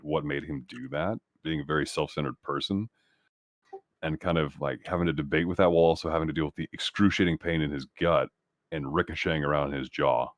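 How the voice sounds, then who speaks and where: A man's voice: extremely low pitch, no echo, studio quality. A man playing a tabletop game, talking casually and at length, reflective and given to swearing. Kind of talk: casual talk about his game character.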